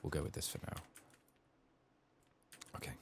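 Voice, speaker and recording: A man quietly narrating a game playthrough in soft-spoken ASMR style, murmuring to himself and often dropping toward a whisper. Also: measured pace, slight background noise. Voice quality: soft, hushed, breathy in whispers. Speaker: gaming streamer.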